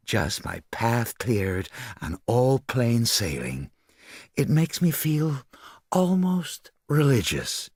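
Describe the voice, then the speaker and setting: A man voicing a vain, self-absorbed glamorous actress in an audiobook, with a husky, breathy, gushing drawl. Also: fairly low pitch, dry recording, clean studio sound, full character voice. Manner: gushing, childlike, languid drawl.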